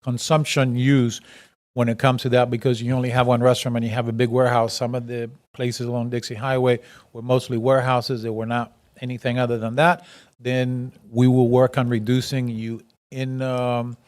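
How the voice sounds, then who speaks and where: A man talks in a flat, even voice at a city workshop, plain-spoken and direct. His pitch barely moves. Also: moderate pace, no room sound. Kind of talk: public meeting discussion.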